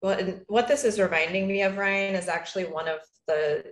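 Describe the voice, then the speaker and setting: A woman's voice: medium pitch, fairly even intonation, a little fast, reverberant. A woman speaking on a video call as a panelist, talking in a casual, thoughtful way with many "like"s and "right?"s. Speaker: panelist, agile coach.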